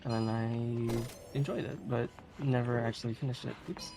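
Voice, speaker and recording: A speedrunner giving casual live commentary in a halting, hesitant way, sometimes giggly. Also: medium pitch, steady tone. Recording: clearly noisy stream audio.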